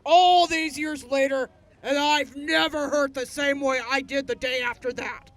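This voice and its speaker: A woman's voice: sits high, fairly even intonation, a little slow. A woman playing a friendly soldier among old friends in a post-apocalyptic audio drama. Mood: amused reminiscing. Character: friendly female soldier briefing old friends after long absence. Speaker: voice actor.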